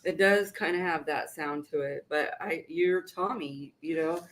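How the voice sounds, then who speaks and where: A man hosting a local music show, chatting casually and relaxed with his guest. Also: on the high side, a little slow. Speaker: podcast host. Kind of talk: casual chat.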